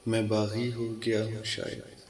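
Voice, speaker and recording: A man reciting his own ghazals as a poet, in a declamatory, deliberate manner, repeating lines with pauses. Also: on the low side, monotone, far from the mic. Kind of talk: slow Urdu poetry recitation. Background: music.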